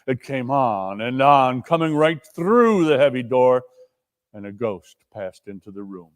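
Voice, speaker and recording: A man with a medium-pitched voice narrates a classic tale as a staged reading. His storytelling is deliberate and suspenseful. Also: lively intonation. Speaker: narrator and performer.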